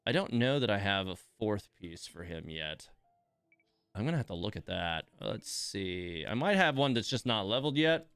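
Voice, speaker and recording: A man's voice: on the low side, animated, normal speed, fairly bright tone, clear, resonant tone, dry recording, soft background music. A man hosting a casual gaming livestream, thinking aloud as he reads and comments on game details. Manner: thinking aloud, reading game text with asides. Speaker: livestream host.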